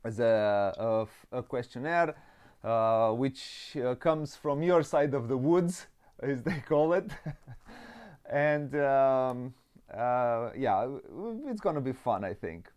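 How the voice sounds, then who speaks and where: A man hosting a board game interview show, cheerful and hesitant, pausing often on fillers. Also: medium pitch, lively intonation, a little mellow. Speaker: podcast host. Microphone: video call.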